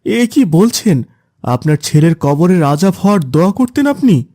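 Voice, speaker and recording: A man's voice: sits high, big pitch swings, normal speed, dark tone, no room sound, studio quality. A man playing a concerned villager in a cartoon moral story, speaking earnestly and with urgency. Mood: concerned, pleading. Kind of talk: cartoon dialogue.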